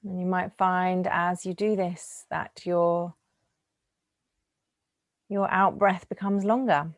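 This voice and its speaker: Low-pitched woman guiding relaxation, close and dry. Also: steady tone, no echo. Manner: gentle, soothing, unhurried with lingering pauses. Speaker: yoga nidra teacher. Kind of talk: guided relaxation meditation.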